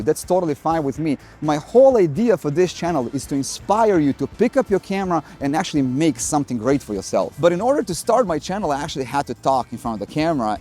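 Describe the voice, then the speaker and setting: Wry Serbian-accented man over soft music. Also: fairly high pitch. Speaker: photography YouTuber. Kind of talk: scripted vlog monologue. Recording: faint music underneath. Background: faint music.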